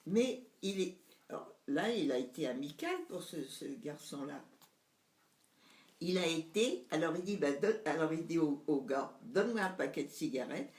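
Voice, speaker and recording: A woman's voice: low-pitched, expressive, normal speed, a little mellow, echoey room. A woman in her nineties, recounting family memories to her son in casual conversation, hesitant and searching for words. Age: 90s. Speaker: mother recalling family memories.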